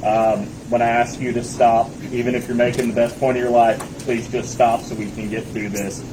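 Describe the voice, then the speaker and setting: Loud-voiced man moderating a debate. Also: medium pitch, level tone. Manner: firm, brisk instructions. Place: small meeting room. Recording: noisy room.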